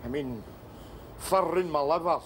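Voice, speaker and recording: A man's voice: on the high side, unhurried, a little hiss or hum, lightly acted. An actor playing a cynical, cheerful, middle-aged drinker who chuckles through his jokes and keeps asking "eh?". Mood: musing. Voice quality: chuckling, wry, chatty. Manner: asks for agreement with "eh?" and "you know". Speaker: main character. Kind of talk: film drama acting.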